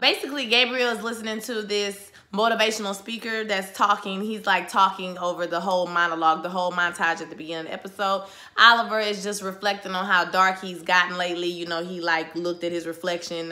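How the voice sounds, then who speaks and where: A woman casually recapping a TV episode in a chatty, slangy way, her voice going hoarse. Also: mid-range voice. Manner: chatty, slangy, animated retelling. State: losing her voice. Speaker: TV recap vlogger.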